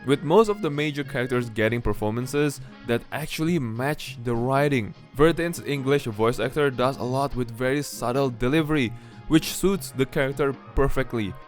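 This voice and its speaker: A male reviewer narrates a game video in a medium-pitched voice. His delivery is scripted but conversational and blunt, with faint music behind him. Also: moderate pace. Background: background music.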